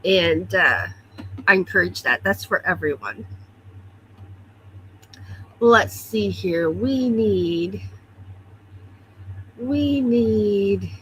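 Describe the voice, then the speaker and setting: Woman, livestream host, chatty, calls out viewers by name, slightly stuffy, bright unhurried voice, faint hum behind. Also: medium pitch, lively intonation, low-quality recording. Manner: chatty, greets viewers one by one. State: a little stuffy. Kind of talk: casual morning chat reading viewer comments. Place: home, at her computer.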